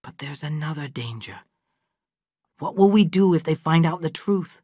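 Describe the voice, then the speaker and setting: A man voicing a thoughtful, wise household servant who reasons in a calm way. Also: fairly high pitch, moderate pace, no echo, studio quality, pitched above the performer's own voice.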